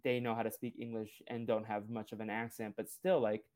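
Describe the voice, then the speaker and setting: A man in his twenties, a podcast host whose first language is Portuguese, chatting casually with relaxed, rambling talk full of fillers. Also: fairly low pitch, brisk. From Brazil. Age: 20s. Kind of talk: casual interview chat.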